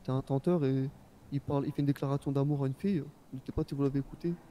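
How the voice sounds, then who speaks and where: A man's voice: mid-range voice, steady tone, racing, warm tone, a little hiss or hum, natural voice. A man playing a young, easygoing Japanese-born rap label boss in a game, talking in informal, rambling slang. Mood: explanatory. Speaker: role-player. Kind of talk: casual in-character game chat.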